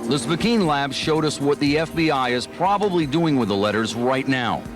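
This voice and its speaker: A man's voice: mid-range voice, expressive, normal speed. An American man narrating a scripted television news report as the reporter. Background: music.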